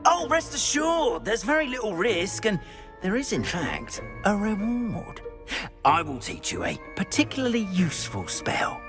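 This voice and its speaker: A man's voice: high-pitched, big pitch swings, measured pace, music in the background. An actor playing a flustered, fearful older British school caretaker in a video game, hesitant and florid in his phrasing. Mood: coaxing, nostalgic. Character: jittery, eccentric older male school caretaker, theatrical and fearful. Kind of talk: video game character acting.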